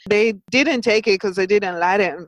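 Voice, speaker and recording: A woman in her forties who speaks English with an Italian accent talks as an interview guest. She is lively, filling her talk with "like" and "you know" and laughing often. Her voice sits at a middle pitch and sounds close and dry, with no room around it. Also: normal speed, no echo, dull audio. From Italy. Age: 40s. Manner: animated, laughs often, many fillers. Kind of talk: casual interview chat.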